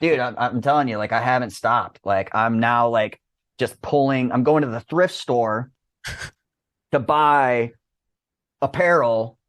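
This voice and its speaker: A man in his thirties chatting casually and excitedly as a podcast guest, rambling with lots of slang and swearing. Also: medium pitch, unhurried, no room sound, clean studio sound. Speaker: podcast guest, disc golf business owner.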